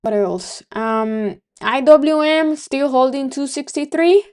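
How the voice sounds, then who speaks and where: A woman's voice: high voice, slow, a little mellow. A woman from Venezuela with a Venezuelan Spanish accent, hosting a live trading stream in casual, think-aloud commentary full of ums. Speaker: livestream trading host.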